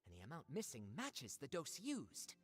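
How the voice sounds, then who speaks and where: Video game role: emphatic, exasperated young worker, highly animated, husky edge. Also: female voice, normal speed, big-room sound, full character voice. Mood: explaining. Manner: expressive, emphatic. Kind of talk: game voice acting.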